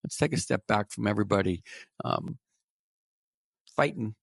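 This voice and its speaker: A man's voice: on the low side, lively intonation, moderate pace, creaky, no echo, studio quality. A middle-aged man with a New York accent, a sales trainer speaking as a podcast guest in an emphatic, coaching way that repeats key phrases. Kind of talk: conversational interview.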